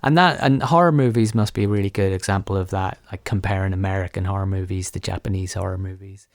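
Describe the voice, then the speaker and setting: A man with a fairly low voice, recorded close and dry. He is a podcast host chatting with a guest. His questions come out tentatively and wander as he builds them. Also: quick pace, dry recording. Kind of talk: conversational interviewing.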